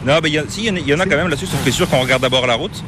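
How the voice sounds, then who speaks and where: A man's voice: on the high side, very fast. A middle-aged man from Brittany with a French accent touched by Quebec, chatting casually with lots of fillers while riding in a car. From Brittany, France. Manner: relaxed, chatty, many fillers. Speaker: interviewee, French immigrant living in Gaspésie. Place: inside a moving car on a coastal road. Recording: road and engine noise. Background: car noise.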